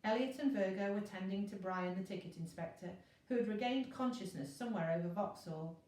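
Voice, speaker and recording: A woman reads a children's adventure story like a teacher before a class. Her narration is expressive, at a middle pitch. She sounds some way from the mic in a strongly echoing room. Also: brisk. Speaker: teacher reading a class novel. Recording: very echoey room, distant mic.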